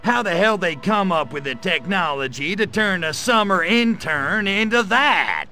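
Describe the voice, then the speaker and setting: A man's voice: high voice, expressive, unhurried, pushed and bright, faint music behind, exaggerated voice. A man voicing a small, wisecracking grey alien invader in a video game, brash and sardonic, with an American accent. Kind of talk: video game cutscene acting.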